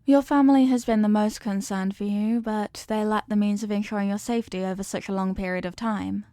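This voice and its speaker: A woman acts out an evil queen for an intimate ASMR roleplay, regal and possessive. Her mid-pitched voice is formal and measured, doting on the surface and coolly manipulative underneath. The recording is dry, with very little room sound. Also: steady tone, no room sound, close to an everyday voice. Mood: gracious, doting. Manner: formal, measured, softly commanding. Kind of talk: scripted ASMR roleplay monologue.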